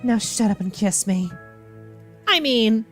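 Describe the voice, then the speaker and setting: A woman's voice: fairly high pitch, expressive, slow pace, soft background music. A woman doing playful, theatrical commentary on a game as a streamer, with a teasing, sassy manner. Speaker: streamer reacting to visual novels. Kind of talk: playful gaming commentary with dialogue read aloud.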